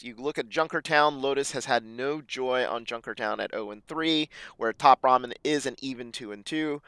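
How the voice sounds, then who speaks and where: Male esports caster, mildly hoarse, otherwise smooth voice, dry sound, stop-start delivery with uhs. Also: medium pitch, a bit bright, no echo. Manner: halting, many fillers. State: slightly raspy voice. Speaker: esports commentator. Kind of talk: esports match preview commentary.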